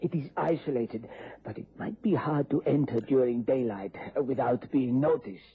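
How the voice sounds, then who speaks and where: A man's voice: mid-range voice, normal speed, husky. A man acting a cold, fanatical, ruthless assassin in a vintage radio drama. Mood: tense, watchful. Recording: dull, noisy old radio recording.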